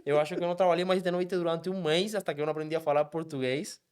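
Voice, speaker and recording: A man with a Cuban Spanish accent tells his story at a quick clip, slipping Spanish words in as he goes. His voice sits slightly high for a man and moves fast and animated. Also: clean tone. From Cuba. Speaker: guest, app driver. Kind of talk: casual storytelling interview.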